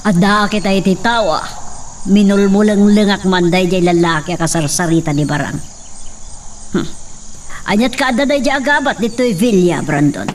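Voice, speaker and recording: A man's voice: sits high, moderate pace. A man acting a stern older parent in an amateur drama, questioning his son about a new worker. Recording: distant mic with faint outdoor noise.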